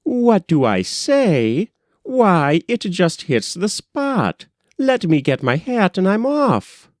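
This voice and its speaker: Casting: spirited woman of thirty done by a male reader, lifted pitch, self-assured, lively intonation, storybook. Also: slow, no room sound, clean studio sound, full character voice. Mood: eager. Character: independent, spirited thirty-year-old unmarried woman.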